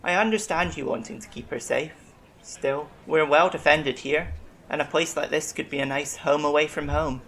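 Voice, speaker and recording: An actor playing a calm, empathetic alien colony doctor in a science-fiction audio drama, speaking in a gentle, reassuring way. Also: mid-range voice, normal speed, a little hiss or hum, lightly acted. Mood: friendly.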